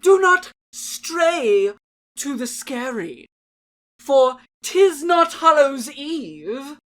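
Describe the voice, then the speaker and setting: A woman's voice: high voice, big pitch swings, pitched above the performer's own voice, full character voice. A woman performing a merry, cautioning jester herald in a theatrical, mock-Shakespearean dramatic reading. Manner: grandiose, drawn-out, declamatory delivery.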